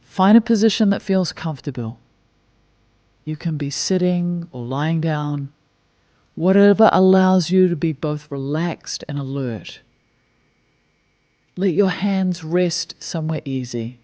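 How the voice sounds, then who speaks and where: A man leading a guided meditation in a slow, soft, soothing manner, gently counting breaths. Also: on the high side, dry recording.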